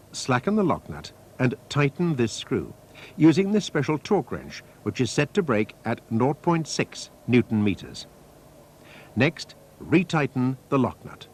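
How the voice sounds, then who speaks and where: A man reading a scripted technical training film narration in a measured, precise, instructional manner. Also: mid-range voice, breathy edge. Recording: noisy archive training-film soundtrack.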